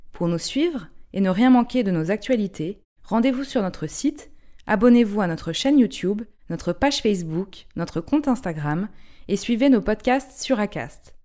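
A woman gives a scripted podcast intro in a measured, announcing manner. Her voice is mellow and warm, at a middle pitch. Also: lively intonation. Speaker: podcast host.

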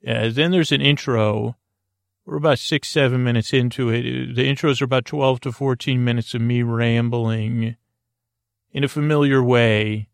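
A man hosting a bedtime podcast, rambling softly through tangents with a creaky voice and lots of restarts. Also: mid-range voice, steady tone, normal speed, no room sound, clean studio sound. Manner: soft, meandering, self-interrupting, goes off on tangents. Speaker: podcast host.

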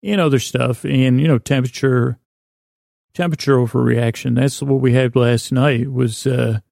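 American guy doing a sleepy rambling podcast, creaky voice, pretty flat, keeps stammering and restarting. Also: medium pitch, no echo, studio quality. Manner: meandering, halting, with stammered false starts and self-interruptions. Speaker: podcast host. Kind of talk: rambling bedtime monologue to help listeners sleep.

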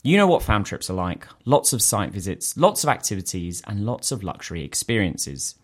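Man, podcast host, lively storytelling, wide-ranging pitch, reading a letter. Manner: animated, quick storytelling with laughs. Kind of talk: reading a listener letter aloud with chat.

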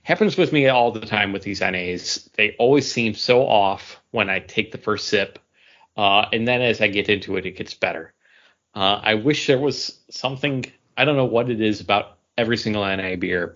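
A man's voice: lowish voice, moderate pace, fairly bright tone. A man chatting as a podcast co-host over an internet call, describing things in a hesitant, meandering way. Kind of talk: casual podcast chat. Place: remote voice call. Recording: dull lo-fi internet call audio.

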